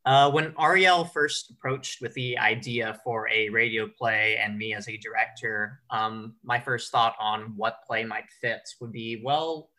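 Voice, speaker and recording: A man hosting a casual panel discussion as a director, explaining things in a measured way. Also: mid-range voice, steady tone, pushed and bright, low-quality recording.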